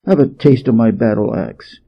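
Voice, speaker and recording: A man's voice: medium pitch, moderate pace, vocal fry, lo-fi sound. A man voicing an angry, menacing heavenly general in a storybook, bellowing with heavy emphasis. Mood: furious.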